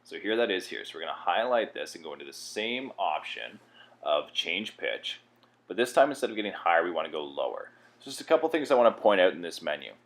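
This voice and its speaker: A man with a low voice walks through software steps as a tutorial presenter. His delivery is plain-spoken and instructional, explaining each step as he goes. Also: big pitch swings, fast.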